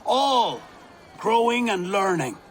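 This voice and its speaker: An actor playing a solemn, tender old village elder in a dramatic, ceremonial game cutscene. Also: male voice, high-pitched, sing-song, a little hiss or hum, heavily acted. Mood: solemn, proud. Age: older. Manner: measured, ceremonial delivery.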